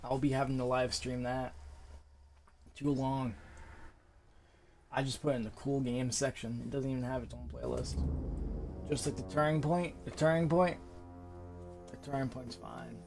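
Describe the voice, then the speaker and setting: A man's voice: medium pitch, steady tone, moderate pace, pauses often. A young man giving casual let's-play commentary as a gaming host, informal, with muttered asides and exclamations. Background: game music.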